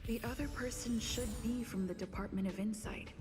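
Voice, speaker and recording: An actress playing a pensive, determined young female investigator in a game's inner monologue, musing deliberately. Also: fairly high pitch, natural voice. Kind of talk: scripted game inner monologue. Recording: long reverb, music under the voice.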